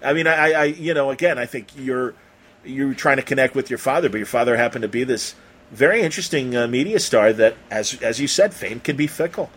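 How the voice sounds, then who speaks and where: A man's voice: medium pitch, fairly quick, a bit bright, husky. Enthusiastic American man hosting a podcast. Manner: enthusiastic, rambling. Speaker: podcast host. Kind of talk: casual interview and chatty sponsor reads.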